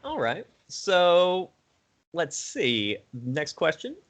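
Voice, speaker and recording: A man's voice: on the high side, big pitch swings, drawn-out words, a bit bright. A man hosting a casual interview, talking informally with a fan's enthusiasm.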